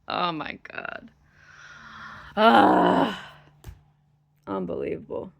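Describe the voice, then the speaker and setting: A woman's voice: lowish voice, big pitch swings, drawn-out words, vocal fry. A woman vlogging to camera, stressed and exasperated, venting with frequent swearing. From United States. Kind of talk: ranting to camera.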